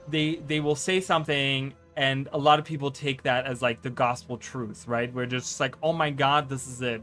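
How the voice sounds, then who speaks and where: Rambling streamer, faint music behind. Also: male voice, medium pitch, fairly bright tone. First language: German. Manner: rambling, stammering restarts, frequent "right?". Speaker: streamer and content creator. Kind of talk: casual live-stream chat.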